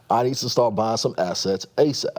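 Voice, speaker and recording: A man in his thirties from Nigeria, a guest telling his story in a casual interview, quick and full of restarts. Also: mid-range voice. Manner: fast-talking, animated storyteller with frequent restarts and laughs.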